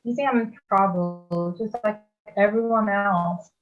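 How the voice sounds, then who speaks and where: A woman's voice: mid-range voice, close to an everyday voice. Misunderstood boy hero, female actor, protesting and awkward, slightly lo-fi with music underneath, theatrical table read. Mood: hurt protest. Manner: halting, protesting, conversational. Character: defensive, awkward schoolboy hero, misunderstood and sincere. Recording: dull band-limited sound, music behind.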